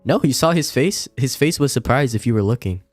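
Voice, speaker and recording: A man's voice: medium pitch, expressive, a little fast, soft background music. A man streaming a visual novel, switching between casual chat and reading aloud, sometimes stumbling over lines. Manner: stumbles and comments while reading. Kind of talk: casual livestream chat and read-aloud narration.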